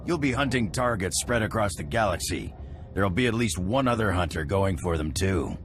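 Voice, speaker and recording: A man's voice: low voice, expressive, a little fast, a little hiss or hum. An actor playing a dry, wry male official of a hunting contest in a game cutscene.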